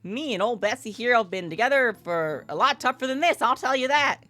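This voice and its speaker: A woman plays a jolly country farmer fellow from a visual novel, talking in a folksy drawl. His delivery is bright and very lively, with music playing softly behind. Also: fairly high pitch, brisk, pitched above the performer's own voice. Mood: jolly, teasing. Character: cheerful folksy male farmer trucker, hearty country talker. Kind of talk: visual novel character read-aloud.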